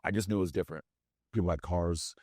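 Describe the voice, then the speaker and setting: Deep-voiced guy from brazil, portuguese first language, chatty storytelling, lots of restarts, dry sound. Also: fast, dry recording. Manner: animated, with frequent restarts and "like". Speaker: guest, business owner. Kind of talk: casual interview storytelling.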